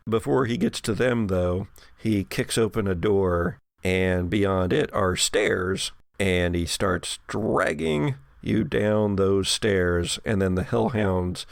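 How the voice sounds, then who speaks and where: A man's voice: sits low, gravelly, dry recording. A man running a horror tabletop game, improvising vivid narration with conversational asides. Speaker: game master.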